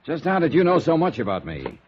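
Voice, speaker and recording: Animated radio noir detective. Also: male voice, on the high side, brisk. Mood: probing. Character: wry, probing male freelance private investigator hero. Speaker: lead actor and narrator. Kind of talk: 1950s radio noir acting. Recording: muffled old radio recording, faint noise.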